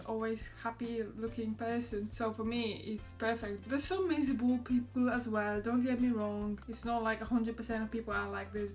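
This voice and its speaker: Young woman, Polish accent, casual vlog chat, slightly high voice, soft background music. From Poland. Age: young adult. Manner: chatty, laughs often, quotes others in a higher voice. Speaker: vlogger.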